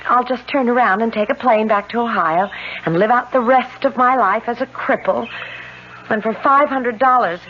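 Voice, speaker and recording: An actress playing a fragile, hopeful younger sister in a 1970s radio drama, earnest and pleading. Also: female voice, fairly high pitch, moderate pace, lightly acted. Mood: wistful, pleading. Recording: muffled, faintly noisy old radio broadcast.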